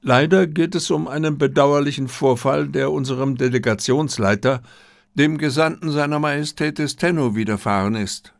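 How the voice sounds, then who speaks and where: A man voicing a formal, discreet Japanese diplomat in an audiobook, with courteous, measured wording. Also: fairly high pitch, vocal fry, no room sound, clean studio sound, pitched above the performer's own voice. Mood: grave, discreet. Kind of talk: audiobook character dialogue.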